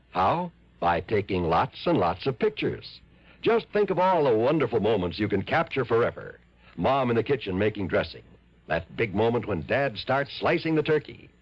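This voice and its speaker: Animated man, vintage radio announcer. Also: medium pitch, breathy edge, no echo. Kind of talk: vintage radio commercial read. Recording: dull vintage radio recording.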